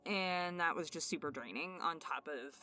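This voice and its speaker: Low-pitched woman, casual and candid. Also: moderate pace, sharp tone. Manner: informal, candid, giggly. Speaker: ASMR channel host. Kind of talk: casual personal update to listeners. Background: music.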